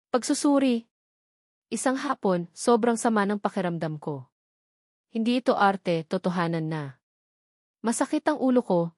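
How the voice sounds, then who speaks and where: A woman's voice: medium pitch, dry recording. Woman narrating a first-person drama, dry. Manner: conversational, expressive storytelling.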